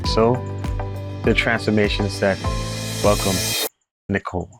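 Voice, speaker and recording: A male pastor with a low voice hosts a church interview series. He speaks in a welcoming way and asks his guest questions between short affirmations. Music plays clearly beneath his voice. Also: flat delivery, unhurried.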